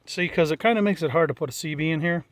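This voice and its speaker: Casual American man with a higher-pitched voice. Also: fast, no echo. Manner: plain-spoken, matter-of-fact. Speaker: hobbyist presenter. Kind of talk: casual unscripted walkthrough.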